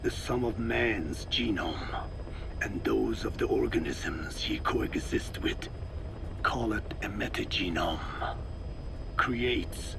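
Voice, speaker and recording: An actor playing a very old, wise Navajo scientist in a video game, solemn and lecturing, with a raspy, breathy croak. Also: male voice, lowish voice, noisy background. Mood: solemn, probing. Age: older. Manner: slow, deliberate, lecturing. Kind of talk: scripted dramatic game dialogue.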